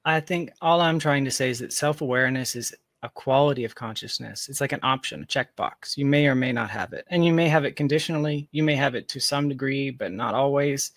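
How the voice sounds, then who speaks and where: Man, medium pitch, casual conversation, reflective, informal, thinking out loud, very dry recording. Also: fairly quick, few pauses, dry recording. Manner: philosophical, informal, thinking aloud. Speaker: podcast guest, tech founder.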